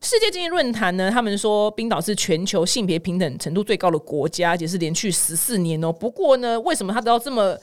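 Fast-talking Taiwanese woman podcast host. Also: mid-range voice, sing-song. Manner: chatty, playful, opinionated. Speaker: host of a news commentary podcast. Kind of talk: casual comedic news commentary with personal anecdotes.

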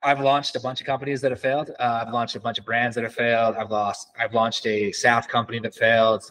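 A man's voice: mid-range voice, little pitch movement, brisk, fairly bright tone, low-quality recording. A man speaking as an entrepreneur guest in a casual interview, explaining things in a conversational way.

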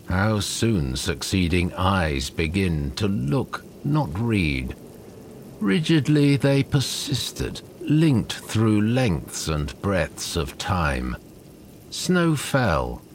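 A man reading poetry aloud in a measured, dramatic recitation, deliberate and with lingering pauses between phrases. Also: low-pitched, slight background noise. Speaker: poetry reader.

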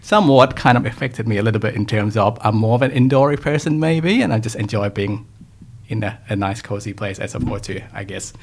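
A young man from Thailand, a podcast guest talking reflectively about his upbringing in a relaxed conversation. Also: lowish voice, a little fast. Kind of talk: casual interview conversation.

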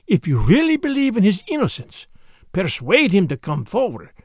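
A man's voice: sits high, wildly swooping pitch, a little mellow, husky, dry recording, clean studio sound, voice pushed up, exaggerated voice. A man voicing an elderly, courteous detective in a storybook, with a formal, pleading manner. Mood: grave urging. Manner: formal, pleading, dramatic pauses. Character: elderly Belgian male detective, courteous, grave, insistent.

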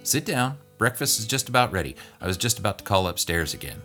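Man putting on a fussing, raised-pitch scolding mother. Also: very animated, fast talker, sharp tone, faint music behind. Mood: brisk. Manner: scolding, exclaiming. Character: stern, fussing middle-aged-to-older mother, thin and tired.

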